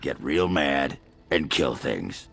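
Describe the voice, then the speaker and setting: Very deep, gravelly boozy dwarf. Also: male voice, very animated, slow, a bit bright, exaggerated voice. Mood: gruff, instructing. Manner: gruff, crude, earthy. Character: gruff, boozy male dwarf berserker warrior, crude and jovial. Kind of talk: video game character dialogue. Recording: music behind the voice.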